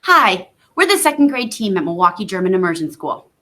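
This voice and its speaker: Bright-voiced teacher reading a thank-you. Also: female voice, mid-range voice, very animated, fairly quick, a little hiss or hum. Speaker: second grade teacher. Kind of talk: scripted thank-you message.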